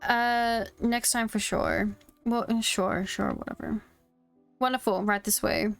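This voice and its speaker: High, clear-voiced woman game streamer. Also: fairly even intonation, unhurried, faint music behind. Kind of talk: casual livestream, reading game dialogue aloud.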